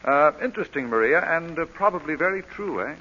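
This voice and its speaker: Suave aristocrat, witty, precise, lively intonation, muffled reverberant vintage radio play. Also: male voice, on the high side, fairly quick, a little hiss or hum. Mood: teasing. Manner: urbane, teasing, precise. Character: suave, witty aristocratic nephew, drily amused. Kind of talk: old-time radio comedy of manners acting.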